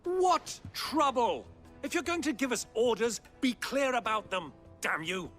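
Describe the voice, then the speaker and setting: An actress in a cinematic video game playing a suspicious, hot-tempered travelling companion who scolds sharply and indignantly. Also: female voice, a little high, animated, a little slow, fairly bright tone, faint music behind. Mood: outraged.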